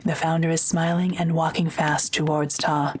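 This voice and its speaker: A woman's voice: deep voice, flat delivery, moderate pace, a little hiss or hum. A woman reading scripted narration for an audio drama.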